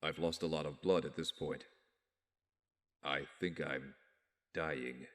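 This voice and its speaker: A man playing a wounded, despairing young vigilante in an audio drama, speaking in a weak, weary, brooding and halting way. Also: very deep voice, fairly even intonation, a bit bright, exaggerated voice. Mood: weak, dazed. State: weak, badly wounded (acted). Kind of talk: fan audio drama inner monologue. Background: music.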